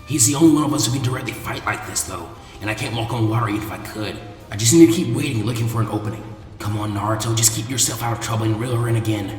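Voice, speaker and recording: Guy doing a weary grumbly teen ninja strategist, low muttered voice, music behind. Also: very fast, darkish tone, echoey room, long reverb tail, lightly acted. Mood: tense inner thought. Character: grumbling, brainy young male ninja strategist. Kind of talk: character voice in story reading.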